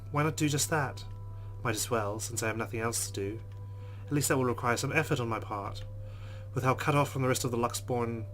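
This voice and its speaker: A man's voice: medium pitch, fairly bright tone, slight background noise. A man hosting a game playthrough, reading the story aloud with earnest delivery and breaking into casual asides. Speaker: let's play host.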